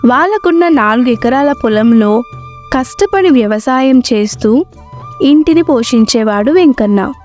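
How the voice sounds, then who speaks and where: High-pitched woman narrating a story. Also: animated, unhurried, a little mellow. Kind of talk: scripted moral-story narration. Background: faint background music.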